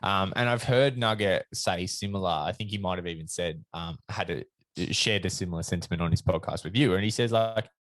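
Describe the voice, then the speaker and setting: A man's voice: low voice, fairly quick, hardly any pauses, fairly bright tone, no echo. An Australian man hosting a podcast, chatting casually and thoughtfully with many ums and uhs. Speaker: podcast host.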